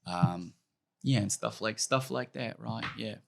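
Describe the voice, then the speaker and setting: A man's voice: lowish voice, measured pace, creaky. A man talking casually as a podcast guest, rambling and joking as he goes. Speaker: podcast guest, sketch comedy writer. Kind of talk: casual chat.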